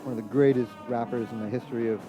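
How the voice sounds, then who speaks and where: A man from Trinidad chatting casually as a musician being interviewed, using relaxed hip hop slang. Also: mid-range voice, racing, muffled recording. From Trinidad, from San Fernando. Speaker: jazz trumpet player, interview guest. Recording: loud music in the background.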